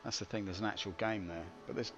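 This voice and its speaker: A man's voice: fairly low pitch, fairly even intonation, fast talker, highs cut off. A man streaming live gameplay, grumbling wryly and poking fun at himself as he plays. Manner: grumbling, wry and self-mocking. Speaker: game streamer. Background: game music.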